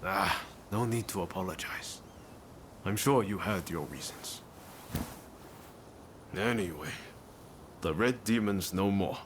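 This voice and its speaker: Easygoing samurai rebel, lowish voice. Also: male voice, sing-song, slow pace, vocal fry, pauses often, background noise, heavily acted. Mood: reflective, reassuring. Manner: casual, conversational. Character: easygoing, friendly male samurai rebel in 1860s Japan. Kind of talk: video game cutscene acting.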